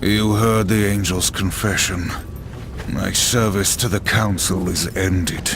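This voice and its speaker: A man's voice: sits low, fairly even intonation, slow pace, over music. An actor voicing a stern, defiant supernatural horseman in a video game, terse and brooding. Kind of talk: video game hero acting.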